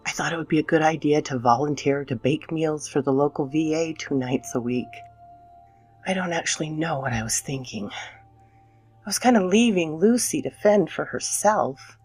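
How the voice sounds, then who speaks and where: A woman playing an anxious, guilt-ridden mother in a dramatic scripted horror narration, theatrical and dipping into breathy near-whispers. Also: sits low, no room sound, heavily acted.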